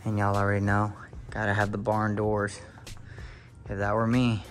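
A young man filming a casual home tour on a handheld phone, talking to viewers with excited exclamations and lots of fillers. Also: lowish voice, moderate pace. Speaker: real estate video host. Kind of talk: casual walkthrough narration. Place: inside a large empty house.

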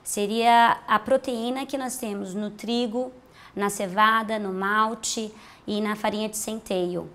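Woman, Brazilian accent, 30s, nutritionist, explanatory, lapel mic, bright room. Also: medium pitch, fairly even intonation, slow. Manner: explanatory, patient, uses simple comparisons. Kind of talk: TV health interview. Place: bright room with large windows.

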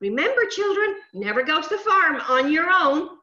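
Storybook hedge sprite, performed by a woman: little, male, kind, gently cautioning, lively high voice. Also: moderate pace, echoey room, dull audio, full character voice. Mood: kindly warning. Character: small kindly magical hedge sprite with huge ears, male.

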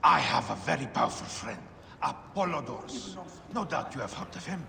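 A man's voice: mid-range voice, very animated, normal speed, creaky, in a large hall, background noise. A man playing a pompous, theatrical and secretive scholar poet in a video game. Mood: grave, confiding. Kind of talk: video game cutscene acting.

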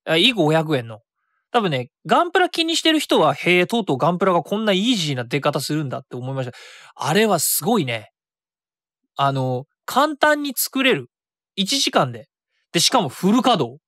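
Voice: medium pitch, fairly bright tone, dry recording, clean studio sound. A radio host chatting casually and solo about a hobby, thinking aloud and self-correcting along the way.

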